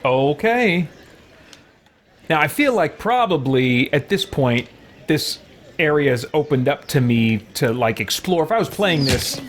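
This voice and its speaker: A man's voice: fairly high pitch, lively intonation, measured pace. A man giving chatty, wry commentary on a game stream, sometimes reading game text aloud.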